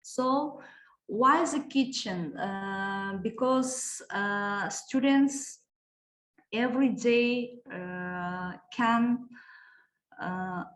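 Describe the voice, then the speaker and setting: A woman from Kazakhstan, presenting a teaching project at a conference and pausing often to search for words. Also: fairly high pitch. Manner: halting, searching for words, many hesitations. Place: conference room. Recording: echoey hall.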